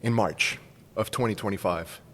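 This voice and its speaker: A man's voice: lowish voice, normal speed, a bit bright, big-room sound, slight background noise. A man in his forties from Cuba, casually telling a personal story as a podcast guest. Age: 40s. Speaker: school staff member, podcast guest.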